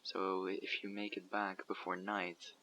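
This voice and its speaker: A man voicing a guarded survivor leader who recruits people for a community, asking measured, probing questions in an audio roleplay. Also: low-pitched, monotone, bright, forceful tone, slight background noise, full character voice. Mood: calm, probing. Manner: measured, probing, matter-of-fact. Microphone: USB condenser microphone.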